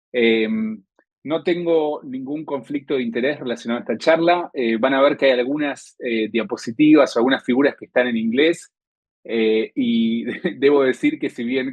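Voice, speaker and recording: A middle-aged man from Argentina with an Argentine accent, an intensive care doctor giving an online lecture in a measured way, with many hesitant fillers. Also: mid-range voice, slightly rough, no echo. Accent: Argentine Spanish.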